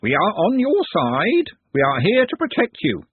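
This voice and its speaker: Man doing a screeching, high-pitched bird-woman creature. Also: huge pitch swings, normal speed, husky, dry recording, narrow, tinny audio, full character voice. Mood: urgent warning. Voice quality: squawking, screeching. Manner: shrill, jerky exclamations and warnings.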